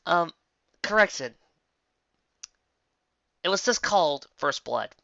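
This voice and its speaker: An amateur reader narrating a fan fiction story in an animated way, close to the microphone. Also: medium pitch, takes it slowly, gravelly, dry recording. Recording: slightly dull, close dry recording.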